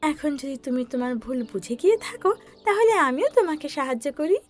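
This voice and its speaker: A woman's voice: high voice, sing-song, fairly quick, soft background music, pitched above the performer's own voice. A woman voicing a kindly, admonishing blue fairy who speaks instructively. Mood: gently admonishing.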